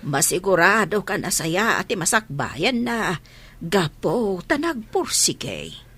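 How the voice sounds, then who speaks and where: A woman's voice: mid-range voice, big pitch swings, takes it slowly, a bit bright, husky, exaggerated voice. A woman acting in a radio drama as a stern but caring old grandmother. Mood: worried.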